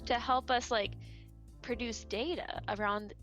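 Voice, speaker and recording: A woman's voice: on the high side, fairly even intonation, measured pace, fairly bright tone, muffled recording, soft background music. A woman as a podcast guest talking casually on a call, with light laughs and many fillers.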